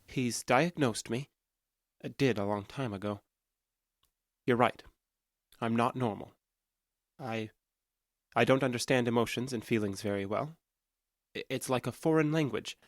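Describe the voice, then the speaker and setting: An actor playing a calm, emotionally detached boyfriend in an audio drama, speaking in a flat, hesitant and literal way. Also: male voice, medium pitch, unhurried, long pauses, dry recording, studio quality, close to an everyday voice. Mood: awkward, confessing. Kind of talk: naturalistic audio drama acting.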